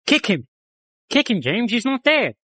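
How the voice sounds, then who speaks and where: A man's voice: extremely high pitch, very animated, a little slow, pushed and bright, dry recording. A man reacting in casual gaming banter, exclaiming and swearing.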